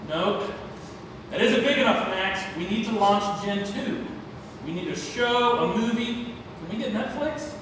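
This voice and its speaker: A man's voice: high-pitched, a little slow. A man playing a harried, cynical toy executive ranting urgently in a play read-through. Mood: cynical, scheming. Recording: echoey hall, distant mic, heavy noise.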